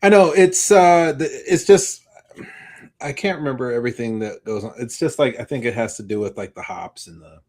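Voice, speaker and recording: A man's voice: mid-range voice, moderate pace, darkish tone. A man co-hosting a casual live podcast, talking in a loose, rambling way and correcting himself as he explains. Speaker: podcast co-host.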